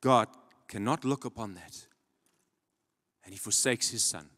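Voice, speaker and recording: A young man preaching a sermon on a church stage into a handheld mic, dramatic, swinging between loud emphatic peaks and low quiet drops. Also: unhurried. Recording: long church hall reverb.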